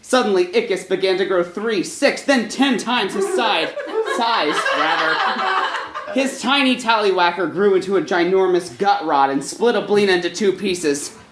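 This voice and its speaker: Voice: medium pitch, unhurried. A performer reading a story live in an irreverent, profane comic style with casual asides. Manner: profane, irreverent, breaks up laughing. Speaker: story reader, comedy troupe member. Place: indoor live show before listeners. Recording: roomy live venue, clear background noise.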